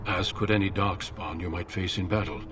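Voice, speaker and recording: A man playing a grave veteran leader of a warrior order in a fantasy game, measured, formal and authoritative. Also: deep voice, fairly even intonation, background noise, close to an everyday voice. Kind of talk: scripted fantasy game dialogue.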